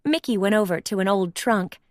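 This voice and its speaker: A woman narrating a children's storybook aloud in an expressive read-along style. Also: mid-range voice, brisk, dry recording, studio quality.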